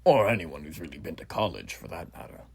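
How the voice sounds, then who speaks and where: A man's voice: low voice, sing-song, fast talker, vocal fry, slightly rough, no room sound, full character voice. A man playing an earnest, proud revolutionary army officer in a roleplay, speaking to the listener in a formal, rambling, stately way. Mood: measured, instructing. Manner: formal, rambling, theatrically stately, hushed asides. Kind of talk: roleplay voice acting addressed to the listener.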